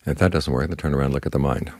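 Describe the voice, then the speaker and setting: Very deep, gravelly man, calmly instructive. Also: racing. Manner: calm, instructive, plain-spoken. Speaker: Buddhist monk, meditation teacher. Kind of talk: short spoken Dhamma talk guiding meditation.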